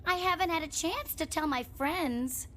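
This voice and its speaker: A woman's voice: very high-pitched, moderate pace, sharp tone. A woman voicing a sweet young girl in a video game, speaking with stilted politeness. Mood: polite, stilted. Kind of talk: video game cutscene acting.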